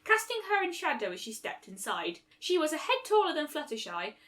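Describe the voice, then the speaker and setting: Female story reader, high and bright, animated delivery for fan fiction narration. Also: a little fast.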